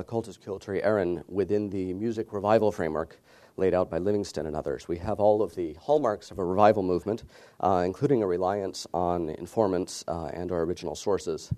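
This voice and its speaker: Low, mellow-voiced man giving a talk. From United States. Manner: measured, with frequent "uh" fillers. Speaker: conference speaker. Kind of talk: conference presentation.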